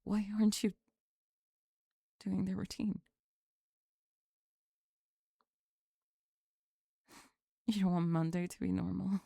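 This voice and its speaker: Woman playing a young goth bully, mellow and gravelly, defensive, grudgingly tender, halting, ASMR roleplay. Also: mid-range voice, fairly even intonation, no room sound, clean studio sound. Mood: flustered. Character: sulky young goth bully girl, flustered, secretly lovestruck. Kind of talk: ASMR roleplay drama, spoken to the listener.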